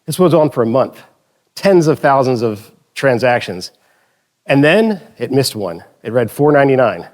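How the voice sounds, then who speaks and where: A man's voice: medium pitch, sing-song, normal speed, darkish tone. A man from the Philadelphia area giving a conference talk, telling anecdotes with joking asides and often correcting himself mid-sentence. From Philadelphia area, United States. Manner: chatty, joking asides, frequent self-corrections. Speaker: conference speaker.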